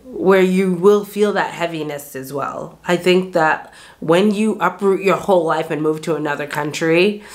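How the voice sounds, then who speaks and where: A woman's voice: fairly low pitch, normal speed. An American woman in her thirties talking candidly to camera in a vlog, earnest and reflective, with frequent "like" fillers and restarts. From United States. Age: 30s. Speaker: vlogger. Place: bright small bedroom indoors. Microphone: camera framed chest-up at desk distance.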